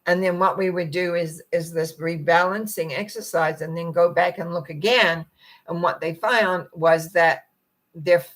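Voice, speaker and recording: Woman, middle-aged, croaky husky voice, lowish pitch, gentle, meandering, clears throat. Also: low-quality recording. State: croaky, rough voice. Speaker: spiritual teacher. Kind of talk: reflective talk to viewers.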